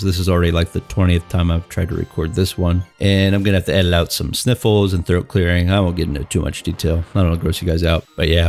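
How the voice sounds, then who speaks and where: A man with a stuffy head cold, hosting a let's play and chatting casually as he plays and narrates. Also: deep voice. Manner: relaxed, chatty, thinks aloud while playing. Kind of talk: game commentary and voiceover narration. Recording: faint game music behind.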